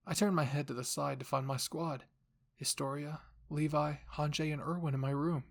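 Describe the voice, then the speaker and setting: A man's voice: fairly high pitch, fairly even intonation, normal speed, vocal fry. A man narrating a dramatic first-person fan story as a voice actor.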